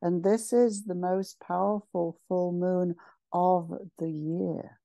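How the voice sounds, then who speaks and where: A woman with a lowish, soft-toned voice leads a guided meditation at an extremely slow pace. She is solemn and ceremonial, setting out short phrases with pauses between them. The sound is close and dry. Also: steady tone, creaky, dry recording. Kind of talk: slow guided meditation, channeled spiritual address.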